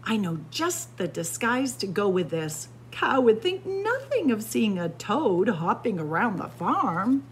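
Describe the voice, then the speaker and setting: A woman's voice: mid-range voice, very animated, measured pace, few pauses, a little hiss or hum. A woman voicing an eager, easily dismayed male turkey in a children's storybook, earnest and exclaiming. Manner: earnest, exclaiming, gobbling when upset. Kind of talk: children's storybook character reading.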